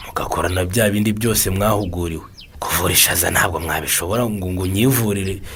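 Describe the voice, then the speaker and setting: A man's voice: low voice, fast, a bit bright, a little hiss or hum. A man with a Rwandan accent playing a reluctant, anxious village patient in a radio drama, complaining and pleading. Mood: worried.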